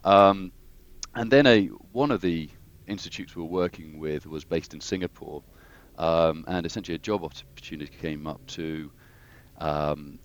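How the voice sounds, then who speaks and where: A man's voice: extremely low pitch, normal speed, muffled recording. A man, a guest engineer, talking through his career in a hesitant, thoughtful way with many restarts. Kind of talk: casual interview conversation.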